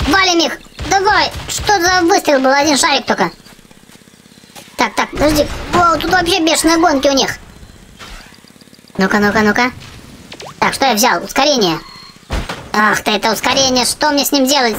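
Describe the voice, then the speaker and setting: A youthful-sounding gaming host giving excited, chatty gameplay commentary, often exclaiming and repeating phrases. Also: high-pitched, slight background noise, low-quality recording. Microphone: voice-over on game footage.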